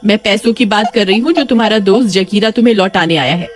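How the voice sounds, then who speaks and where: A woman voicing a sharp-tongued, bossy village wife in a cartoon story. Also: mid-range voice, fast, lightly acted. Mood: brisk, chiding. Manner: bossy, exclamatory.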